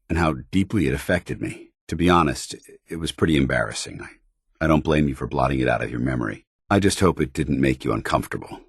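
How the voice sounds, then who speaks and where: An actor playing a stoic, honour-bound android soldier in a video game, tormented yet earnest, with formal military diction. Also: male voice, very deep voice, husky, dry recording, studio quality, full character voice. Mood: contrite, awkward. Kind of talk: video game companion dialogue acting.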